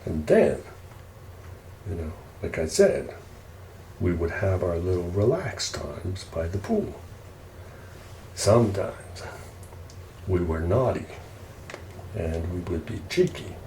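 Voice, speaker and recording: A man telling casual ASMR stories in an unhurried, rambling way. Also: sits low, very animated, gravelly, a little mellow. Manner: unhurried, rambling family reminiscing. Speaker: ASMR storyteller. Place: indoors, roomy space while preparing food. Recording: roomy echo, faint background noise.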